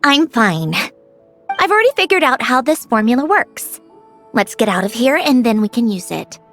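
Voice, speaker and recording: A woman playing a proud, prickly sentient machine girl in a video game, curt and impatient but secretly soft. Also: fairly high pitch, sing-song, moderate pace, faint music behind. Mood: brisk, determined. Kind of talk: scripted anime-style game acting.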